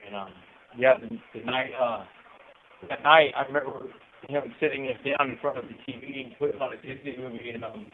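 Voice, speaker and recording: A man's voice: medium pitch, a little hiss or hum. Middle-aged man on a tinny phone line. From Southern California. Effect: telephone line. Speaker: incarcerated interview guest calling from prison. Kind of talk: casual personal storytelling and reflection. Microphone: telephone handset.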